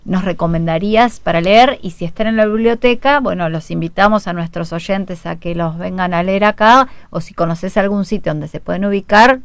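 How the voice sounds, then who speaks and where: A woman with a Rioplatense Argentine accent hosting a radio interview, asking questions with gentle fillers, in a radio studio. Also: on the low side, moderate pace, few pauses, no echo. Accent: Argentine Rioplatense Spanish. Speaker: radio host.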